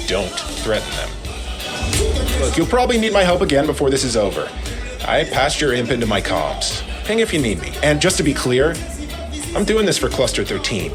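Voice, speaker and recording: An actor playing a shrewd, chatty alien hacker in a video game, with a wry, streetwise manner. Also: male voice, mid-range voice, lightly acted. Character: shrewd, casual alien hacker fixer, male. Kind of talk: video game cutscene acting.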